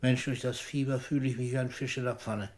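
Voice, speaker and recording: A man's voice: medium pitch, fairly even intonation, fast, natural voice. A man acting an ailing old poet in a radio drama. He is philosophical and fatherly, wry about his own decline. His voice sits in a medium range. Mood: weary, confiding. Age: older. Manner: philosophical, wryly theatrical, with whispered asides. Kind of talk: German radio play acting.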